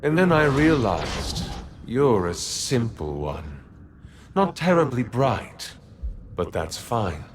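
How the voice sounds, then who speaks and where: An actor playing a sinister, condescending Emperor spirit in a video game, mocking and menacing. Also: male voice, medium pitch, very animated, slow pace, noisy background. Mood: smug menace. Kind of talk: video game villain acting.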